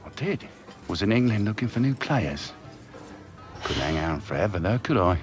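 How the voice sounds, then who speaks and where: A man's voice: fairly low pitch, very animated, normal speed, faint music behind. An actor playing a middle-aged father and football scout in a game drama, casual, coaxing and evasive. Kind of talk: video game cutscene drama.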